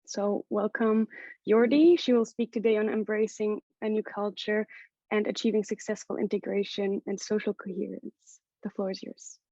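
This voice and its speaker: A woman's voice: medium pitch, steady tone, moderate pace, dark tone, no room sound. A woman moderating a webinar, politely reading out a speaker's introduction over a video call. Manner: polite, reads a speaker bio. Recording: dry muffled call audio.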